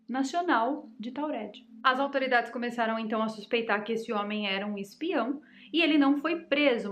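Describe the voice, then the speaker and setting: Brazilian woman host, bright high voice, chatty dramatic storyteller, faint hiss behind. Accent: Brazilian Portuguese. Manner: chatty, dramatic, addresses the audience directly. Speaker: mystery channel host. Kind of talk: casual storytelling to viewers.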